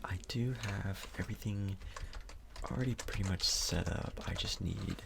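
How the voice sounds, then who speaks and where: A man speaking softly, close to a whisper, in an ASMR doctor role-play, with a casual, hesitant, reassuring manner, close to the microphone. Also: low voice, moderate pace, creaky, background noise.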